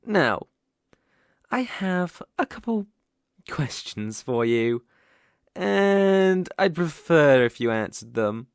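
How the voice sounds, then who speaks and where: A man's voice: on the high side, big pitch swings, clear, resonant tone, no echo, clean studio sound, exaggerated voice. A British man acting a dominant, teasing, possessive young bully in love in an intimate ASMR roleplay. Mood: smug, teasing. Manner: slow, teasing, commanding.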